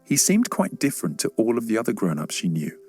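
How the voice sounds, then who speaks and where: Lower-voiced man narrating a children's story. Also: huge pitch swings, quick pace, a little mellow, soft background music. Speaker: audiobook narrator.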